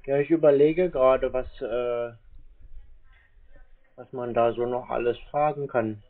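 A man's voice: mid-range voice, steady tone, measured pace, phone-quality sound. A man in a phone conversation, putting questions to a salesman. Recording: band-limited phone sound.

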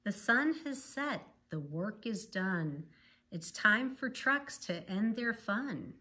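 A middle-aged woman reading a bedtime picture book aloud in measured, rhythmic verse. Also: medium pitch, lively intonation, pushed and bright. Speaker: volunteer story reader. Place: small living room, indoors on a sofa.

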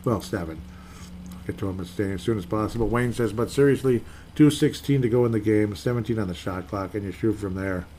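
A man with a lowish voice hosts a casual solo podcast into a home mic. He talks in an easygoing, rambling way, corrects himself as he goes, and reads out listener comments. Faint noise sits behind him. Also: fairly quick, mellow. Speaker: podcast host.

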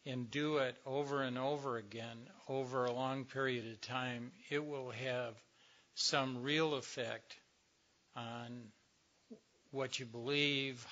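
An older man, a little hoarse, giving a slow, reflective talk to a recovery meeting with many pauses. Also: mid-range voice, pushed and bright, lo-fi sound. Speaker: speaker at a recovery workshop.

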